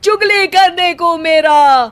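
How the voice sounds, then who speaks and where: A woman's voice: very high voice, slow pace, fairly bright tone, exaggerated voice. A woman voicing a lazy, self-satisfied young husband in a cartoon story, declaiming everything as pompous comic rhyme. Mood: aggrieved, theatrical. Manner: declaims everyday talk as pompous rhyming verse.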